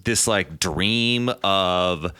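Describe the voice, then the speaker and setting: A man co-hosting a podcast, asking curious, halting questions. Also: low-pitched, pushed and bright, clear, resonant tone, dry recording, studio quality. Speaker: podcast co-host. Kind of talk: casual interview questions.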